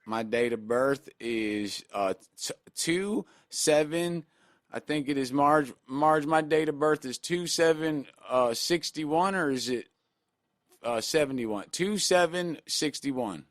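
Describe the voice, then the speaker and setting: A man's voice: fairly high pitch, no echo, studio quality. A radio host playing a forgetful older army veteran who stumbles and halts over his own age and birthday on a prank call. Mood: muddled. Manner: halting, confused, stumbling over numbers.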